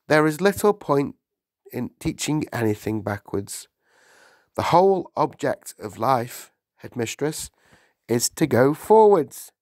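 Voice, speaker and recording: A man's voice: mid-range voice, dry recording, studio quality, voice pushed up. A man voicing a principled young schoolmistress in a storybook, speaking in an earnest, formal and politely firm manner.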